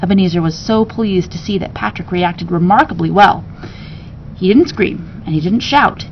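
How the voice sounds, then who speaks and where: A woman reading a children's storybook aloud with expressive narration. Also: moderate pace. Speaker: story reader. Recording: dull sound with rumbling background noise.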